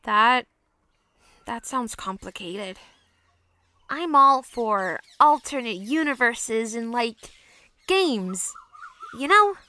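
A woman playing an earnest, hesitant girl in an audio drama, speaking haltingly and sincerely. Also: on the high side, expressive, sharp tone, exaggerated voice. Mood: unsure. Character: earnest, hesitant girl, young research captain and gamer.

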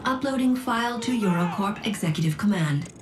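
A woman playing a calm, detached onboard computer in a video game, making precise, formal system announcements. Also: medium pitch, brisk, background noise, lightly acted. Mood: detached, clinical. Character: calm, clinical female onboard computer assistant. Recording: game music over the voice.

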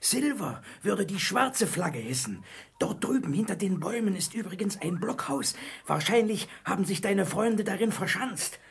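An actor playing a marooned, repentant old pirate in a German radio play, wary and eager, with a husky, creaky voice and a theatrical, confiding manner. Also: male voice, sits high, big pitch swings, moderate pace, heavily acted. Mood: secretive, cautious.

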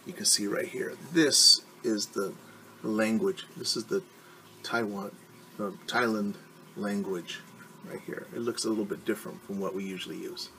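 A man's voice: on the low side, fairly even intonation, normal speed, pushed and bright, slight background noise, low-quality recording. A middle-aged man reading a picture book to children as a teacher, stopping to explain things patiently in simple words. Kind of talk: storytime read-aloud with simple explanations for children. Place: living room at home.

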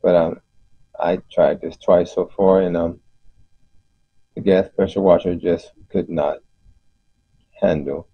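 A man giving an unscripted explanation as host of a DIY video, speaking haltingly with frequent pauses. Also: low-pitched, fairly even intonation, slightly rough. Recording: muffled, roomy voice-over recording.

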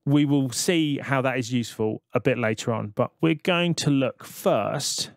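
Man, software tutor, casual conversational narration, step-by-step explaining, medium pitch, close dry recording. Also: a little slow, dry recording.

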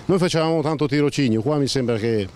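Mellow-voiced older man, halting and grumbling. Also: medium pitch, slight background noise. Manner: halting, restarts words, grumbling. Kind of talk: street interview answer. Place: city street outdoors. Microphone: handheld mic held by interviewer.

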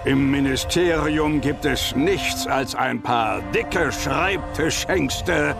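Gravelly, slow, stern oracle. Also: male voice, on the high side, music in the background. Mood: scornful. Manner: solemn, gruff, disdainful. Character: stern, aloof male oracle of the mists. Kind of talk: scripted video game dialogue.

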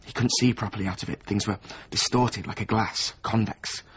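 An actor playing a weary, resentful former apprentice of a painter in a naturalistic radio drama, with a husky voice. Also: male voice, fairly low pitch, fairly even intonation, normal speed, a bit bright. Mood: grave, hushed. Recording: slightly muffled radio drama recording.

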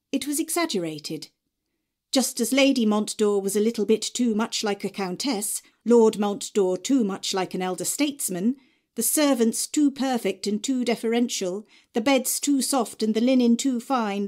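A woman in her forties reading audiobook excerpts with clear, expressive storytelling. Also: on the high side. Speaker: audiobook narrator. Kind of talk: audiobook narration reel.

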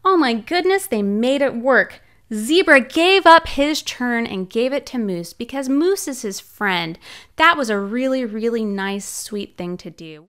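A young woman librarian reading a picture book aloud to children, sounding out letters playfully in a slow, animated storytime style. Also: fairly high pitch, clean tone. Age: young adult. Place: small home room, indoors. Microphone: large studio mic on arm in front of her.

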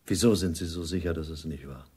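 Raspy, weary security officer. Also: male voice, very deep voice, quick pace, a little mellow, heavily acted. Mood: reserved, evasive. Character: weary middle-aged male security officer, gruffly reserved. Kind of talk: 1980s German radio play acting.

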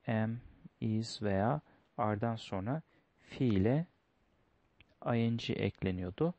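A man's voice: on the low side, a little mellow, no room sound. A man teaching a lesson, going through test questions step by step, reading each line in English and then translating it. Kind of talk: explaining test answers.

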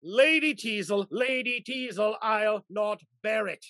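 A man's voice: sits high, unhurried, bright, forceful tone, dry recording, clean studio sound. A man in his fifties or sixties playing an exasperated, doting old husband in a period stage comedy, theatrical and sputtering. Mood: fretful. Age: 50s to 60s. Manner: blustering, theatrical, exclamatory, sputtering. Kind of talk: period comedy stage acting over video call. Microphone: video call.

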